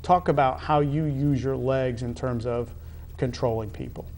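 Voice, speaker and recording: A man's voice: mid-range voice, steady tone, normal speed, vocal fry, a little mellow. A middle-aged American man hosting a conversational sports interview, speaking emphatically and putting questions to his guest. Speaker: talk show host, high school athletic director.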